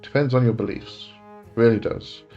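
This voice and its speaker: A man's voice: mid-range voice, normal speed, dark tone, lo-fi sound, soft background music. A man in his forties with an English accent, hosting a casual live chat and answering viewers' questions, rambling and correcting himself as he goes. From England. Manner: rambling, self-correcting, chuckles often.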